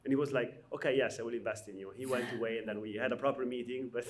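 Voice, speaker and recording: A man's voice: medium pitch, steady tone, rapid-fire, background noise. A man, hosting a conversational interview, speaking hesitantly with many fillers and frequent laughs. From Albania. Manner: hesitant, many fillers, laughs often while telling stories. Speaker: podcast host.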